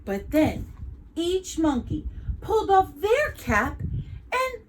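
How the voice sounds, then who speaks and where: Highly animated woman reading a children's story. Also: a little hiss or hum, low-quality recording. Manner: dramatic, drawn-out storytelling. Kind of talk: expressive read-aloud of a children's story.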